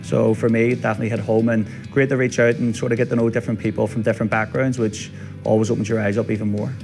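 A man's voice: mid-range voice, flat delivery, fast talker, creaky, a little mellow. A young man with a Belfast accent giving a testimonial for a promotional video. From South Belfast. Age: young adult. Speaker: footballer, programme participant. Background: guitar music.

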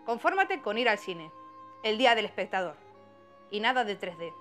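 A woman's voice: mid-range voice, a bit bright. An actress with an accent from Spain playing a grumpy, self-pitying young woman, dramatic and dryly sarcastic, in a scripted comedy. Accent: Spanish (Spain). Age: young adult.

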